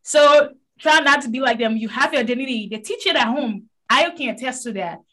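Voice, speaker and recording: Animated West African woman storyteller. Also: high-pitched, brisk. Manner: animated storytelling, rising to emphasis. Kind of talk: casual discussion.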